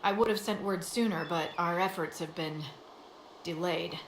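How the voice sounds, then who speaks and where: A woman's voice: fairly low pitch, normal speed, a little hiss or hum, natural voice. A woman playing a dutiful, earnest scout in a video game, respectful and matter-of-fact. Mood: earnest, reporting. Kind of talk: video game dialogue acting. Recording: echoey game capture, faint ambience.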